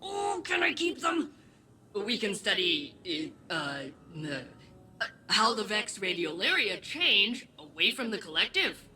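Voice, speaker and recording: A woman voicing a lonely but hopeful young character in a video game, halting and understated in her delivery. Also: medium pitch, very animated, sharp tone, faint music behind, exaggerated voice. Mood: eager.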